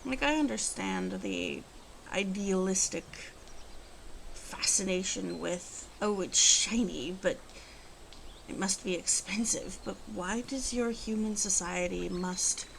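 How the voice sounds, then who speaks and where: Slow-talking, wry female werewolf, played by a woman. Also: medium pitch, creaky, slight background noise. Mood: puzzled, disdainful. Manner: slow, deliberate, teasing, faintly disdainful of human ways. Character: proud, wry female werewolf living wild in the forest. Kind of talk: ASMR roleplay, speaking intimately to the listener.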